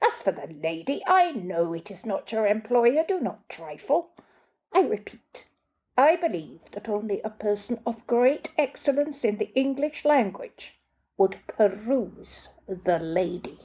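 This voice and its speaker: Storybook butler, played by a woman: pompous, condescending, snappish, measured delivery, soft-edged tone. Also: medium pitch, very animated. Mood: snappish, imperious. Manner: pompous, clipped, lecturing.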